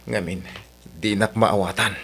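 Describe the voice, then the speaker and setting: A devoted young suitor played by a man in an audio drama. His voice sits fairly low, and he talks earnestly, pleading as a long-suffering, dutiful son and lover. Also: fairly even intonation, unhurried, vocal fry. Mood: hurt, pleading.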